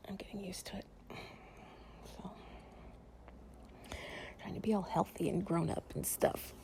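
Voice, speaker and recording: Soft, breathy, creaky low-voiced woman. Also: fairly even intonation, measured pace. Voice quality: soft, breathy, often dropping to a whisper. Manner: playful, sleepy, confiding. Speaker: audio roleplay performer playing a loving companion. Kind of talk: improvised intimate relaxation roleplay, casual chat to the listener.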